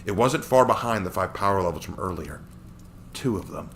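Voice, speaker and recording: A man's voice: low voice, lively intonation, brisk, a bit bright, slight background noise. A man reading a dramatic action story aloud as its narrator.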